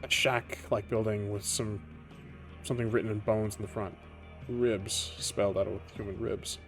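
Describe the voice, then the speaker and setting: A man acting as game master, narrating a tabletop roleplaying game in a measured, descriptive way with pauses. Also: lowish voice, steady tone, a bit bright. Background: music under the voice.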